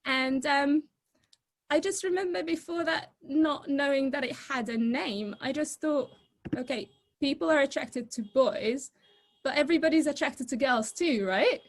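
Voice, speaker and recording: A young woman from Egypt speaks over a video call in a bright, high voice. She talks to the audience in a lively, chatty and informal way, and laughs easily. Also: unhurried. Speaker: guest speaker. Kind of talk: presentation talk on a video call.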